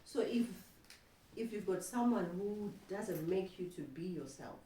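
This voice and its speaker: A woman's voice: mid-range voice, animated, unhurried, dark tone. A woman hosting a casual chat, affirming and echoing what is said to her. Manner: echoes and affirms the speakers' words. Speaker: host. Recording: echoey room, distant mic, faint noise.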